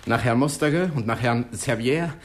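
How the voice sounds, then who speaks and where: An actor playing a pushy newspaper reporter with a dramatic, insistent delivery in a 1960s German radio crime drama. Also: male voice, mid-range voice, moderate pace, lightly acted. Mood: dramatic, grave. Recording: hissy old radio play recording.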